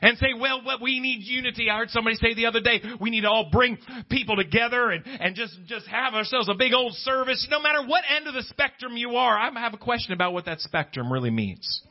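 A man's voice: very high voice, expressive, fast talker, few pauses, muffled recording. A man preaching a Pentecostal-style sermon to a congregation, speaking emphatically, repeating phrases and prompting listeners for agreement.

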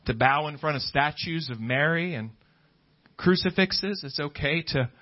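High-voiced, emphatic male preacher. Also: animated, normal speed, lo-fi sound. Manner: emphatic, repeats phrases, prompts listeners for agreement. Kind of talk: Pentecostal-style sermon to a congregation.

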